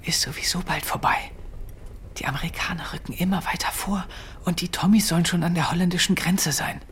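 A shy farm lad of 17, highish voice, earnest n modest, radio play. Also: male voice, fairly even intonation, fairly quick, a bit bright, in a whisper. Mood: hushed. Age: young adult. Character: shy, earnest seventeen-year-old farm milker boy. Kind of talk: German radio drama acting.

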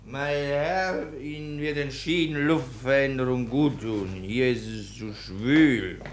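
A man plays a boozy army chaplain in a radio play. He speaks slowly at a medium male pitch, with halting phrases and cynical, mock-pious courtesy. He is jovial, yet used to giving orders. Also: lightly acted. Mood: mock-polite. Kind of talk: German radio play acting.

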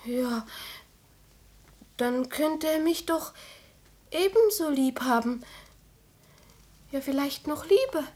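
An actress playing an earnest, emotional teenage daughter, innocent and devoted, in a radio play. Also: female voice, high voice, expressive, slow, pauses often, a little mellow. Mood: sad, earnest.

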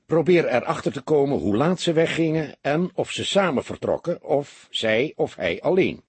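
A male narrator plays a commanding police detective in a crime audiobook. The voice is very husky and animated, close and dry, with brisk orders and impatient, probing questions. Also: a little high, no echo, pitched above the performer's own voice, exaggerated voice. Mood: businesslike, instructing. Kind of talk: audiobook character dialogue.

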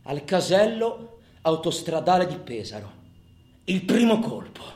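An actor playing a volatile, haunted robber who confesses in a colloquial, profane radio theatre monologue, swinging from hushed to shouting. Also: male voice, on the high side, very animated, measured pace. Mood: brooding, boastful. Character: volatile, haunted male robber recalling his crimes. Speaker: solo actor in a radio play. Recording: echoey room with noisy hum.

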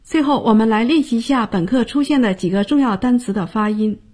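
A woman teaching a radio language lesson in a slightly high, mellow voice with a breathy edge. She explains each point clearly and speaks straight to her listeners, recorded close on a studio microphone. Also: fairly quick. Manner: clear, instructive, addresses listeners directly. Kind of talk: scripted radio lesson narration.

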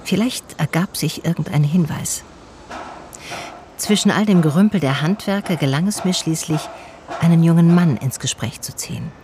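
A woman's voice: sits low, normal speed, mellow, slight background noise, close to an everyday voice. An actress playing a female private detective in a radio play, narrating and talking in a dry, slightly weary, curious way. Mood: reflective, narrating. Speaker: narrator and lead character.

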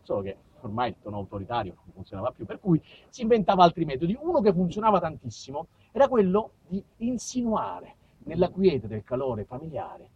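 Animated high-voiced southern Italian male storyteller. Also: a little fast, hardly any pauses, slightly rough. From southern Italy. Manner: colloquial, animated, dramatic shifts. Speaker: actor and storyteller. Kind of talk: theatrical autobiographical monologue. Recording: dull lo-fi stage recording, far from mic.

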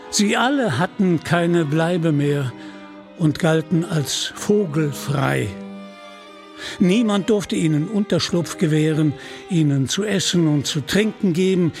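A man reads a scripted storybook narration in a measured way. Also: fairly high pitch, darkish tone.

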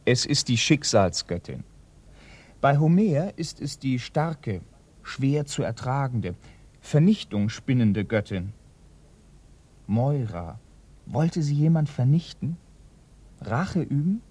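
Earnest, bookish police assistant, husky voice. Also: male voice, medium pitch, very animated, slow, long pauses, a little mellow, exaggerated voice. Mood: musing, earnest. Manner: precise, lecturing, educated. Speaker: actor in a radio crime drama. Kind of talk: German radio play acting.

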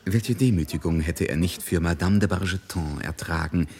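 A man acting as the wry, observant narrator of a literary radio drama, speaking in a measured, polished way. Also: sits low, a little mellow, husky. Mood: dry irony. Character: wry, observant male narrator of a society novel.

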